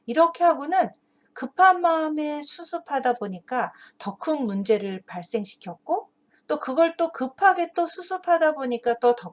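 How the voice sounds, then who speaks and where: A woman explaining a children's story and its moral as a teacher, earnest and deliberate, phrase by phrase. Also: sits high. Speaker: host and teacher of an English Bible story channel for parents.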